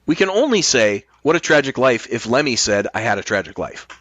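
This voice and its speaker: A man's voice: mid-range voice, big pitch swings, brisk, fairly bright tone, no room sound, muffled recording. A man chatting casually as a podcast guest, reflective, posing rhetorical questions and swearing freely. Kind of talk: casual chat.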